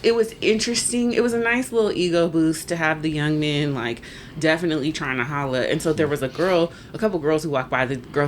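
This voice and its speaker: Woman, 40s, low voice, animated podcast guest storyteller, light background noise. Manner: animated storyteller, acts out voices, laughs often. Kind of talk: casual storytelling chat.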